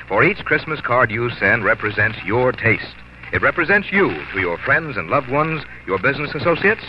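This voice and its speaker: An American man announcing and reading commercials in 1940s radio style, formal and measured, with deliberate emphasis. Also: medium pitch, noisy background. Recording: dull 1940s radio recording, music behind.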